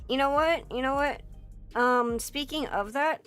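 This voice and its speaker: A hoarse woman streamer in a casual livestream chat, rambling with lots of fillers and swearing. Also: high-pitched, a little slow, fairly bright tone. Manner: rambling, filler-heavy and profane, breaks into laughter. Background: faint background music.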